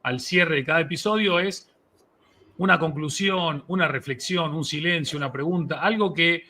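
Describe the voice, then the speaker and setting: A man's voice: a little high, normal speed, pushed and bright. A man hosting a casual, unscripted interview, effusive and enthusiastic toward his guest. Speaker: host of a live interview show.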